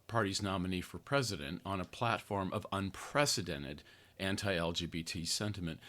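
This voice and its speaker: An older American man hosting a podcast, reading his own article aloud in an earnest, deliberate way with conversational asides. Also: low-pitched, fairly bright tone. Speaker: podcast host, therapist and activist writer.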